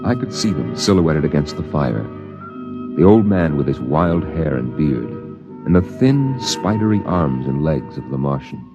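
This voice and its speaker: An actor playing a grown man recalling his boyhood, in measured, reflective narration for a 1950s radio drama. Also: a bass voice, heavily acted. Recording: dull vintage radio recording. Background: musical score behind the voice.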